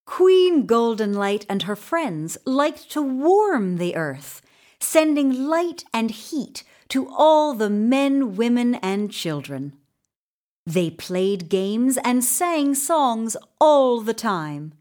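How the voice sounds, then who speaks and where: A woman narrates a children's tale at a very unhurried pace. Her pitch moves animatedly as she leans on key words for drama. The delivery is deliberate and expressive, made for storytelling.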